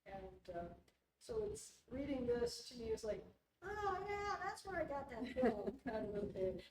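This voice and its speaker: A woman's voice: high-pitched, big pitch swings, measured pace. A woman from the United States chatting casually in a book club discussion. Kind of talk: casual chat. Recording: echoey room from far off, noisy.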